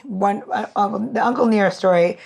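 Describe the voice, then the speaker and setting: A woman's voice: medium pitch, brisk, gravelly, a little mellow, husky. A woman co-hosting a podcast in casual chat, with an informal, effusive and rambling way of talking. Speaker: podcast co-host.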